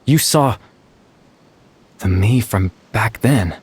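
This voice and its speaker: An actor playing a gentle, melancholy young man in a video game, speaking thoughtfully and politely with some hesitation. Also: lowish voice, animated, slow, slight background noise. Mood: wistful, calm. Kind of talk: anime-style video game voice acting. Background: game music.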